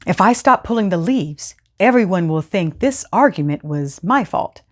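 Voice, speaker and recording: A woman hosting a children's storytime, speaking in an expressive, teacherly way as she reads and explains. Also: fairly low pitch, moderate pace. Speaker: host of a children's read-aloud storytime show. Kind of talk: expressive storybook reading with scripted instructions.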